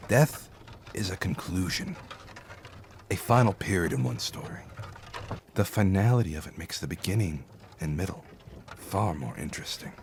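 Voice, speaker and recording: An actor playing a weathered, courteous, philosophical cart driver in a fantasy audio drama, speaking formally and reflectively. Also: male voice, low-pitched, very animated, normal speed, long pauses, slight background noise. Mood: reflective, earnest.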